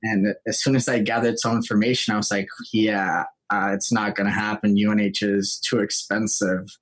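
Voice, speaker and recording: A man's voice: fairly low pitch, flat delivery, moderate pace. A young man from Rico, telling his story informally as a podcast guest. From Puerto Rico. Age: young adult. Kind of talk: casual chat, telling personal story.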